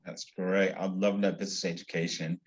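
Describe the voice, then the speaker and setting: A man's voice: sits low, flat delivery, moderate pace, dull audio. Effusive male interviewer. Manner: effusive, repetitive questioning. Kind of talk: interview questions.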